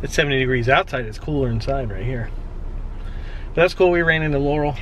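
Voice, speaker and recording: A man's voice: mid-range voice, quick pace, slight background noise. A man in his forties with an American accent, chatting casually as a vlog co-host and reading out prices as he shops. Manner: chatty, reads out prices while shopping. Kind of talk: casual chat. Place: parked car at night.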